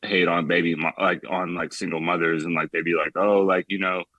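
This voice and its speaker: A man's voice: deep voice, level tone, brisk, no echo, muffled recording. A young man from the Bay Area calling in to a talk show, rambling casually and filling nearly every phrase with "like". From San Francisco Bay Area. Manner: rambling, heavy use of "like", many restarts. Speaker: caller. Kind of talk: casual call-in chat.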